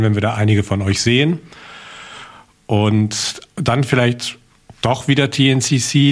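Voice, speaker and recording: A man hosting a podcast, announcing in a brisk, upbeat way from a training course. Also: fairly low pitch. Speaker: podcast host. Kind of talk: casual podcast chat.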